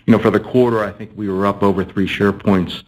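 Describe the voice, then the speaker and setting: A man's voice: lowish voice, level tone, fairly quick, darkish tone, a little hiss or hum, low-quality recording. A man, a company executive, answering analyst questions on an earnings call and often repeating words as he thinks.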